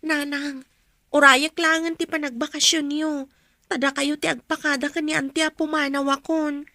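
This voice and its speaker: A woman's voice: high voice, flat delivery, normal speed, sharp tone, no echo, studio quality. A woman acting a dutiful young student daughter in an Ilocano radio drama, earnest and respectful, with a Filipino accent. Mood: plaintive. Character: young female student, dutiful daughter, plaintive but resolute.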